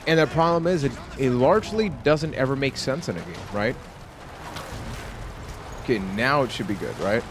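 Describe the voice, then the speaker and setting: A man doing live let's play commentary as a gaming streamer, chatting casually with animated, exclamatory reactions. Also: medium pitch, normal speed, pauses often, background noise. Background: faint game music and sounds.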